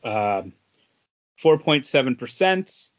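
A man co-hosting a casual podcast, reviewing in a halting, measured way. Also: mid-range voice, sing-song. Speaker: podcast co-host. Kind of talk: casual beer review chat. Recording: dull lo-fi audio.

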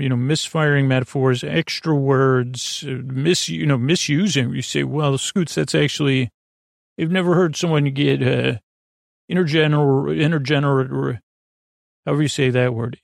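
A man hosting a sleep podcast, talking in a creaky, slow, meandering bedtime monologue full of tangents and restarts. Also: medium pitch, dry recording, clean studio sound.

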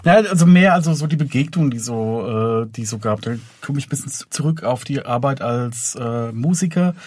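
A man's voice: mid-range voice, lively intonation, normal speed, darkish tone, no room sound. Man chatting in interview, dry studio-like sound. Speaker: audio drama producer and composer being interviewed. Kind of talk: casual interview conversation.

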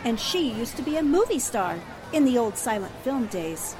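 Woman acting earnest girl reporter, high lively voice, courteous, old radio drama style, crowd behind. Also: normal speed, background noise. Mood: earnest, sober. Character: earnest, dutiful female newspaper reporter.

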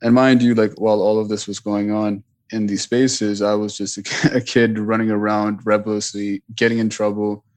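A man's voice: on the low side, level tone, moderate pace, few pauses, a little mellow. A man who grew up speaking Punjabi, an author talking thoughtfully in an interview, with frequent fillers and restarts. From Richmond, Virginia, US. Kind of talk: reflective interview talk.

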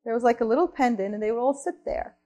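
Woman from Turkey, fairly high voice, lecture talk, energetic, thinking aloud. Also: fast, lo-fi sound, faint music behind. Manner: energetic, thinks aloud with frequent "right?" and restarts. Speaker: researcher and columnist giving a talk.

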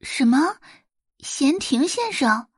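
A female performer puts on a young heiress for an audio drama. Her pitch is lifted and very lively, in a dry recording. She is proud yet inquisitive, exclaiming and questioning. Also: slow pace, dry recording, exaggerated voice. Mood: surprised. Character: proud, curious young rich daughter.

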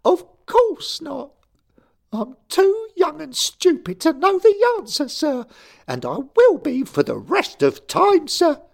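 A man voicing a sulky, furious 13-year-old boy ghost who shouts shrilly and calls everyone sir. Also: extremely high pitch, huge pitch swings, slow pace, vocal fry, voice pushed up, exaggerated voice. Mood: furious whining.

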